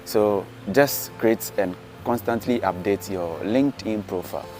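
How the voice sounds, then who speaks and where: A deep, low-pitched man speaks in Ghanaian-accented English, steady and explanatory, with frequent hesitant "uh" and "um" fillers. He sounds like he is talking casually to camera outdoors. Clear music plays underneath his voice. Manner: measured, explanatory, frequent "uh" and "um" pauses. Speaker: vlogger, science teacher. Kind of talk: casual advice vlog. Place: outdoors in an apartment garden, later seated.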